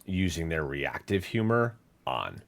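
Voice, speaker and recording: A man's voice: low voice, sing-song, measured pace, creaky. A man co-hosting a podcast in casual chat, rambling with many fillers and restarts. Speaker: podcast co-host.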